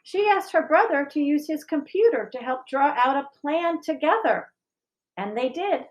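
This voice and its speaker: A woman reading and chatting for a school audience in an expressive, measured storybook style. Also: sits high. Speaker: school staff member reading aloud. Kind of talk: children's storybook read-aloud and informal discussion.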